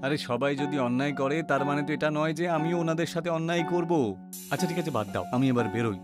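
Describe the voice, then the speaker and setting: A man's voice: fairly high pitch, fairly quick, close to an everyday voice. Man acting an earnest village woodcutter, music behind. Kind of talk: animated moral story dialogue.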